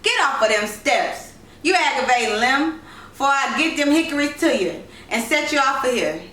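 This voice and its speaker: Woman doing a scolding old Southern granny, high-pitched. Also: lively intonation, moderate pace, distant mic. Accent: rural Southern US. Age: older. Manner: sharp, scolding, threatening. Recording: roomy echo, noisy, off-mic home recording.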